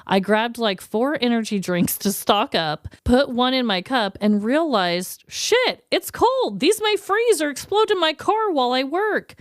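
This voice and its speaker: A woman co-hosting a podcast, chatting casually and reading listener stories in an expressive, gushing, slangy way. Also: high voice, a little slow, hardly any pauses, no room sound, clean studio sound. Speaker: podcast co-host.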